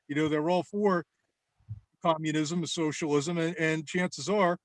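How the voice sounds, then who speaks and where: A man's slightly high voice comes across close and dry, with no room sound at all. He talks loosely and at length in casual podcast chat, restarting sentences and leaning on fillers. Now and then he slips into quick impressions of the people he has dealt with. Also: fairly even intonation, no echo. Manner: rambling, with many restarts and fillers. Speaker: guest, political campaign caller.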